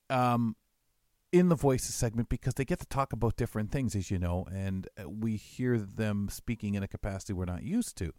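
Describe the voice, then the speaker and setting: A man's voice: fairly low pitch, a little mellow, no room sound, clean studio sound. A man hosting a radio show, talking to listeners in a casual, reflective, rambling way. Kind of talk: casual spoken commentary to listeners.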